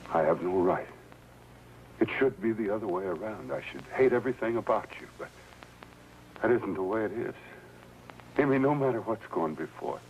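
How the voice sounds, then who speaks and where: Lovesick employee, low voice, halting, earnest, 1950s crime drama, slightly noisy old soundtrack. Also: male voice, a little mellow. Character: deferential male employee, secretly a lovesick, bitter lover.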